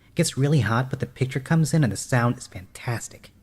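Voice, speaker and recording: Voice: medium pitch, expressive, brisk, darkish tone, husky. A performer playing a bossy, know-it-all boy, the older brother in an audio drama. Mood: boastful. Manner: snide, bossy. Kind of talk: audio horror-comedy drama.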